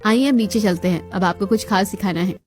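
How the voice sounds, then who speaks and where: A woman's voice: medium pitch, fairly quick, soft background music, lightly acted. A woman playing a polite, deferential palace servant who explains things formally in a Hindi moral-story cartoon. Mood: calm, informative.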